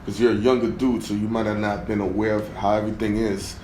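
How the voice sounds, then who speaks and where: A man's voice: lowish voice, flat delivery, quick pace, a little mellow. Man, interview host, easygoing, filler-heavy questions, roomy studio, faint hum.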